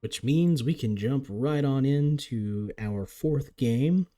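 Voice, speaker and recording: A man giving chatty, upbeat live commentary on a game as league commentator, his voice getting a little raspy. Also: medium pitch, slow, mellow. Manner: chatty, upbeat play-by-play with frequent restarts.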